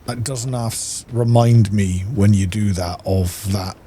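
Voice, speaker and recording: A man's voice: lowish voice, fairly even intonation, a little slow, dark tone, slight background noise. A middle-aged man giving casual gameplay commentary, rambling and thinking aloud. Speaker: gaming YouTuber.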